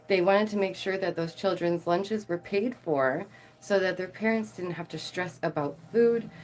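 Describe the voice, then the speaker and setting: Low-voiced lady vlogger, bubbly and chatty, keeps laughing between lines. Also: a little mellow. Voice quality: lower voice. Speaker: channel host. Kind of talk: casual chat to camera.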